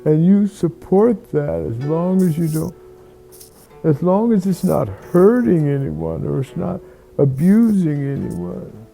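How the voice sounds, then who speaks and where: A person telling a reflective bedtime story in a slow, halting, musing way, often repeating words. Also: medium pitch, lively intonation, vocal fry, mellow, faint music behind, rough recording.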